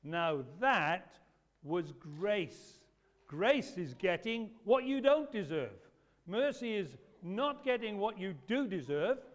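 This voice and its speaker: A man with a loud voice, preaching an animated talk to children at a church service. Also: high voice, slow pace. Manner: lively, emphatic, storytelling to children. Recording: echoey hall reverb.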